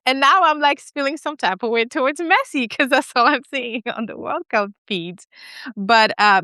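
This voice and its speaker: A woman in her thirties from Senegal co-hosts a podcast, talking in an animated, chatty way with plenty of fillers and restarts. Her voice is smooth and clear, recorded close and dry with no room sound. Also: a little high, no echo. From West Africa, Senegal. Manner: animated, lots of fillers and restarts, laughs often. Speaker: podcast co-host. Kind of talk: casual podcast conversation.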